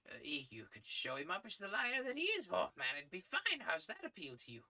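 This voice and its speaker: A man voicing a kindly, fussy old male news editor who talks slowly and hesitantly with tuts. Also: high voice, wildly swooping pitch, gravelly, bright, forceful tone, voice pushed up, full character voice. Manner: slow, hesitant, tutting.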